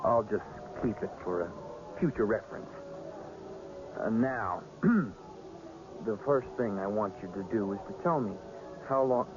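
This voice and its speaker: An actor in a radio drama playing a wary young fortune-teller with a gruff, streetwise, suspicious manner. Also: male voice, lowish voice, unhurried, long pauses, music in the background, close to an everyday voice. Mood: impatient, businesslike. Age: young adult. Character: wary, brusque young fortune-telling apprentice. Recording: dull, noisy old radio broadcast.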